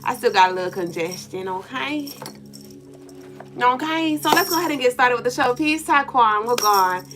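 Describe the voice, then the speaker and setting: A woman co-hosting a casual livestream, congested and nasal, lively and playful as she greets viewers by name. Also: on the high side, moderate pace, music in the background. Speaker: livestream co-host.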